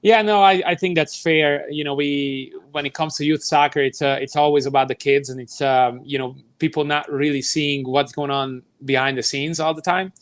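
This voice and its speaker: A man's voice: a little high, steady tone, normal speed, few pauses, dry recording. A man in his thirties from Hungary with a Hungarian accent, a youth soccer director answering interview questions in a thoughtful way, with many fillers and restarts. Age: 30s. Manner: thoughtful, explains at length with frequent fillers and restarts. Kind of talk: live interview conversation.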